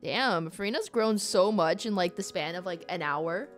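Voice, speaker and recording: A woman's voice: fairly low pitch, animated, moderate pace. A woman doing casual, informal commentary as she livestreams a video game. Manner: informal, conversational. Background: game music.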